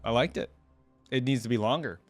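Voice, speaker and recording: A man's voice: mid-range voice, fairly quick, dry recording. A man giving casual, slangy reaction commentary as the host of a video. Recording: loud music behind the voice. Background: game trailer and theme music.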